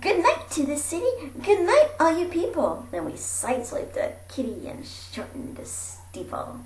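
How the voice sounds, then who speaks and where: A woman reading a rhyming picture book aloud in a theatrical, animated way, moving between hushed and projected delivery. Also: very high voice, a little slow. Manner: theatrical, dropping into breathy whispers and calling out. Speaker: amateur storybook reader. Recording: roomy echo with faint background noise.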